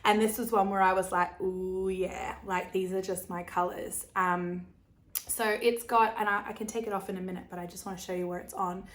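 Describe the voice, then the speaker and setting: A young Australian woman chatting casually to camera as host of her knitting podcast. Her voice is slightly croaky from laryngitis, at a middling pitch, and she talks in a bubbly, rambling way full of fillers and little giggles. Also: fairly even intonation, moderate pace.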